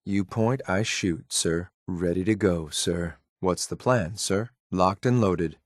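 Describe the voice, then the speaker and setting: An actor playing a loyal, coldly disdainful synth soldier in a video game, speaking in a clipped, military, deferential but blunt way. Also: male voice, low voice, takes it slowly, dry recording, studio quality. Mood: dutiful, composed. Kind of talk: video game companion voice lines.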